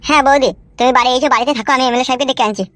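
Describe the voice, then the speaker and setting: A woman's voice: sits high, fairly even intonation, very fast, fairly bright tone. A woman voicing a naive, chatty young village man in a comedy cartoon, speaking bluntly and colloquially. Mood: explaining.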